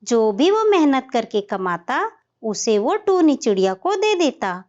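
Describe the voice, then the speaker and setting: A woman's voice: high-pitched, big pitch swings, a little slow, a little mellow, highs cut off. A woman storyteller reading scripted narration for a children's cartoon tale.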